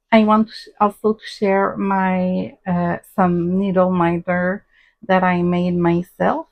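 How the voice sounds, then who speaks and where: Slow, hesitant French-Canadian woman. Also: medium pitch, lo-fi sound. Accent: Québécois French. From Quebec, Canada. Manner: halting, many ums and uhs, soft-spoken. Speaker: crafting channel host. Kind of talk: casual crafting vlog.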